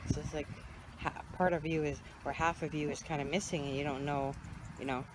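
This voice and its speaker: A woman talking casually and haltingly, a little hoarse from stress, sitting outdoors by a pond. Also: low-pitched, fairly even intonation. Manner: halting, nervous and sad, with frequent fillers. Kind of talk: casual chat. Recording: loud outdoor background noise.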